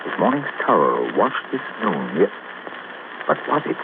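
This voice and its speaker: A brilliant, self-assured British detective in a vintage radio mystery. He is precise and faintly condescending, with a very lively, swooping delivery. The sound is noisy and narrow. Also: deep voice, a little slow, exaggerated voice. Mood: probing. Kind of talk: 1940s radio acting. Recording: noisy, tinny old radio recording.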